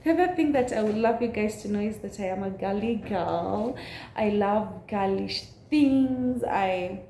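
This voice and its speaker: Raspy young woman vlogger, mellow. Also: mid-range voice, roomy sound, a little hiss or hum. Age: 20s. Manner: earnest, affirming, unhurried. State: recovering from a cold. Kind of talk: casual chat to camera.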